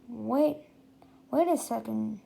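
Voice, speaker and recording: A storybook reader voicing a puzzled, excitable schoolboy with an emphatic, questioning way of talking. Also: medium pitch, slow pace, vocal fry, a little hiss or hum, low-quality recording. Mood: worried.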